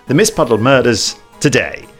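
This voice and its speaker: A man's voice: mid-range voice, animated, moderate pace, music in the background. A man hosting a podcast, chatting casually and reading out reviews in a jokey, stumbling way. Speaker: podcast host.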